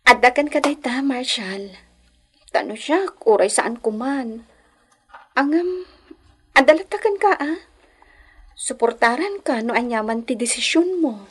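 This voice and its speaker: High-voiced, slow, gentle young wife. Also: female voice, lively intonation, husky, full character voice. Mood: concerned, supportive. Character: gentle supportive young wife, sweet and emotional. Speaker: radio drama actor. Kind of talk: Ilocano radio drama acting.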